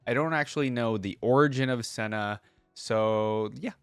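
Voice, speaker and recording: A man's voice: mid-range voice, normal speed, clean tone, no room sound. A man hosting a reaction video, talking informally as he comments and reads lore aloud.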